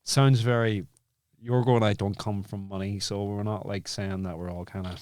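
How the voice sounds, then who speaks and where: Low-voiced, emphatic male podcast host. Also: level tone, normal speed, darkish tone, a little hiss or hum. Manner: emphatic, repetitive, blunt, checks for agreement. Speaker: podcast host, acting coach. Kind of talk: casual chat.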